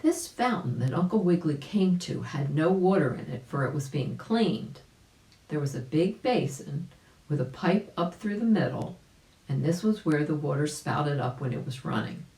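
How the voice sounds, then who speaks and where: A woman's voice: deep voice, expressive, moderate pace, warm tone. A woman narrating a children's story, explaining things and speaking directly to young listeners. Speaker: volunteer storybook reader. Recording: echoey room.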